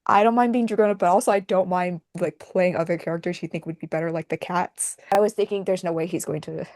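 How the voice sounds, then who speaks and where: A woman's voice: lowish voice, steady tone, quick pace, darkish tone, dry recording, studio quality. A woman in casual podcast chat, speaking in a hesitant, self-deprecating way.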